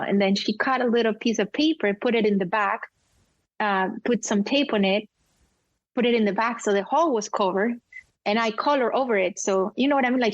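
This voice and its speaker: Colombian woman, 40s, Spanish native, interview guest, heartfelt, halting, dry muffled sound. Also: medium pitch, no room sound. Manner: emotional, candid, many fillers and restarts. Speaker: guest, cinematographer. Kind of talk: casual interview conversation.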